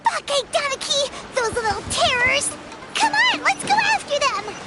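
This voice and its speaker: A woman voicing a tiny, excitable, indignant fairy companion who talks in exclamations in a video game. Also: very high voice, measured pace, full character voice. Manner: refers to self by name, exclamatory. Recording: music behind the voice.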